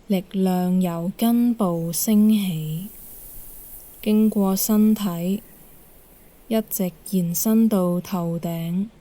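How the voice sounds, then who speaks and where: A woman leads a guided meditation as an instructor, calm and measured, giving gentle instructions with pauses. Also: medium pitch.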